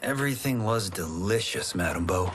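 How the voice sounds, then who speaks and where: An actor playing a polite, questioning young martial artist in a video game, soft and earnest. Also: male voice, deep voice, lively intonation, moderate pace, slight background noise. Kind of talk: video game cutscene acting.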